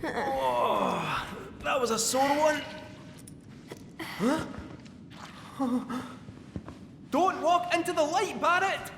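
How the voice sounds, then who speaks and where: An actor voicing a small, plucky, cheerful talking cat with a Scottish accent and a jaunty manner in a video game. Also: male voice, extremely high pitch, takes it slowly, gravelly, a bit bright, a little hiss or hum, heavily acted. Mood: excited, plucky. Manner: jaunty, exclamatory, reassuring. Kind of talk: video game character acting. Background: music.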